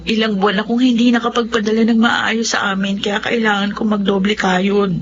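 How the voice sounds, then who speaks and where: A woman acts out a young Filipina migrant worker in a radio-style drama. She is hardworking and burdened by guilt, speaking with a Filipino accent at an average pitch. The audio is somewhat muffled. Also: flat delivery, fairly quick, slight background noise, close to an everyday voice. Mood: determined.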